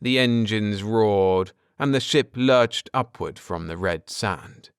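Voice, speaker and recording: A man narrating a science fiction story as an audiobook, with theatrical, deliberate phrasing and dramatic emphasis. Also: on the low side, smooth, dry recording, studio quality.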